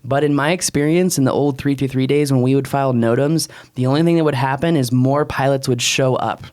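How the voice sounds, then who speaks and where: A man's voice: mid-range voice, brisk, a little mellow. A man in his thirties talking casually and bluntly as a podcast co-host, close on a studio mic. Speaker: podcast co-host and drone expert. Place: small wood-panelled podcast room. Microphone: close studio mic on boom arm.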